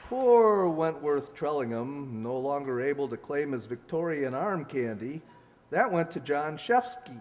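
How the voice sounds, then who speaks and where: An actor playing a genial host and announcer of an old-time sponsored radio show, formal yet chummy. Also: male voice, on the high side, very animated, measured pace. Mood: genial, showy. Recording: echoey hall, faint noise.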